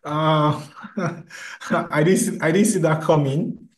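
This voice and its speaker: A man's voice: on the high side, fairly even intonation, takes it slowly. A man from Nigeria giving a casual introduction as a participant on a video call. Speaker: community meeting participant. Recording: roomy, echoing video call.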